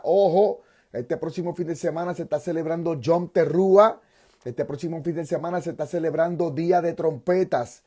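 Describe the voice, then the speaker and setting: A pastor hosting an interview, a man with a mellow, somewhat high voice. He speaks fervently and keeps returning to phrases of praise. His delivery moves between preaching and friendly questions.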